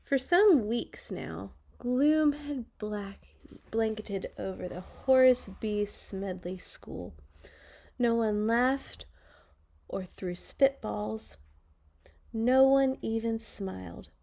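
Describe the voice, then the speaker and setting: A woman reading a children's story aloud with dramatic, deliberate phrasing and chatty, playful asides. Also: a little high, creaky, dark tone. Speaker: read-along storyteller for children. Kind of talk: expressive picture-book read-aloud with chatty asides.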